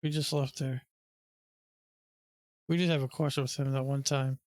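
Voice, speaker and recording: A man's voice: mid-range voice, flat delivery, measured pace, darkish tone, no room sound, clean studio sound. A man giving casual, offhand commentary as he plays through a video game. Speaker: gamer commenting on playthrough.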